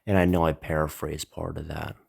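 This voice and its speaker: A man hosting his own channel, speaking with a stuffy, slightly nasal voice in a slow, reflective spiritual monologue. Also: a bass voice, little pitch movement, vocal fry, darkish tone, slightly rough. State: stuffy, congested. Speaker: channel host.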